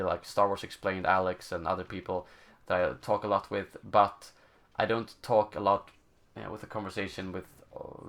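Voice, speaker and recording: A young man with a Swedish accent, speaking English as his second language while he reads out and answers viewer questions. His voice sits somewhat low, and he talks in a loose, halting way, filling gaps and hunting for the right word. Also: a bit bright. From Sweden. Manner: halting, searching for words, many fillers. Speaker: gaming channel host. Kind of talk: live stream Q&A, casual chat.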